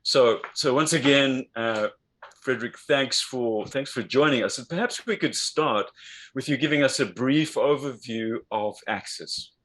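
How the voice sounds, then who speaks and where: Male interview host, mid-pitched voice, measured delivery with fillers, heard over a video call. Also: a bit bright. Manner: measured, with frequent fillers and restarts. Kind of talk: conversational interview hosting.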